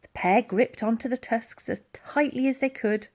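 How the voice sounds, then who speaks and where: A woman narrating a children's adventure story in an animated storytelling style. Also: fairly high pitch, normal speed. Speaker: audiobook reader.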